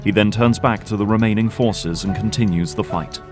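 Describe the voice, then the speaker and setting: A man's voice: lowish voice, normal speed, over music. A man narrating a scripted audio drama.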